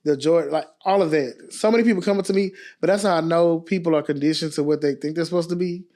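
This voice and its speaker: A man's voice: fairly high pitch, steady tone, fast, dark tone. An American man from Texas, a podcast guest telling stories casually in a playful, candid, emphatic way. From Dallas, Texas. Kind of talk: casual storytelling chat.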